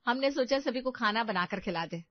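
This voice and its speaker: A woman voicing a practical, talkative young-wife character in a household story, with an animated, protesting manner. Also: on the high side, fairly quick, highs cut off, lightly acted. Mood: pleading. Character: practical, talkative elder daughter-in-law in a household.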